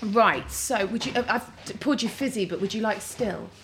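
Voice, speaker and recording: A woman hosting a casual chat at home, bubbly and excitable. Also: medium pitch, normal speed, over a noisy crowd. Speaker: host. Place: home kitchen.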